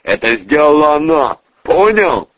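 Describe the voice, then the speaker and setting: Casting: male narrator as menacing, explosive crime boss, snarling and husky, dull lo-fi audiobook sound. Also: medium pitch, huge pitch swings, slow, pushed and bright, no echo, heavily acted. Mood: furious. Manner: snarling, swearing, threatening. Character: brutal, foul-mouthed gangster boss, menacing and explosive.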